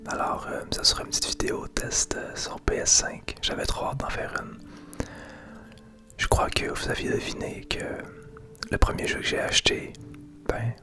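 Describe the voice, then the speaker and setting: Whispering Québécois man, crisp and breathy. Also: very high voice, wildly swooping pitch, normal speed. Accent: Québécois French. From Quebec. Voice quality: soft unvoiced whisper. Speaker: video game streamer making ASMR gameplay videos. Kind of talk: ASMR gameplay commentary.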